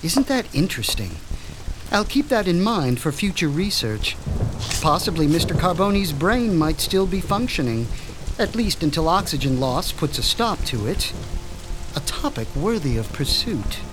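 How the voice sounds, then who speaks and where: A genteel mad doctor. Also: male voice, fairly high pitch, big pitch swings, moderate pace, noisy background. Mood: coldly curious. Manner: fussy, cultured, chillingly detached. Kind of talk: radio drama acting. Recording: music and rain behind.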